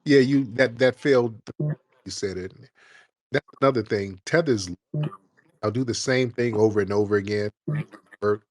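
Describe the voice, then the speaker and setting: A man's voice: lowish voice, moderate pace, long pauses, darkish tone. Mocking, combative male stream host. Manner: mocking, combative, repeats "sir". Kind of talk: confrontational call-in show.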